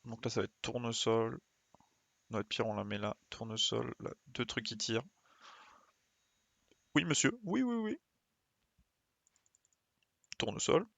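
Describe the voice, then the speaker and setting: A man's voice: medium pitch, a little slow, creaky, pauses often, fairly bright tone, no echo. A man giving casual live commentary as he plays a game, muttering short interjections as he thinks aloud. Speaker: game streamer.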